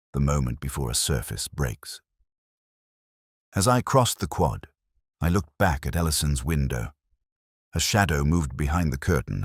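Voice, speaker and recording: Very deep, brooding detective played by a man. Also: slightly rough, no echo, studio quality, heavily acted. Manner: measured, literary, solemn. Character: brooding, melancholy, cerebral male English police detective. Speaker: narrator. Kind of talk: first-person detective story narration.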